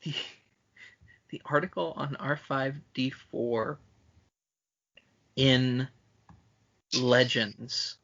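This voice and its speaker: A man with a bright, punchy voice chats as a podcast co-host. He is casual, emphatic and happy to swear. The recording is a little dull and lo-fi. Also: medium pitch, steady tone, drawn-out words, pauses often. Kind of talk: casual chat about films.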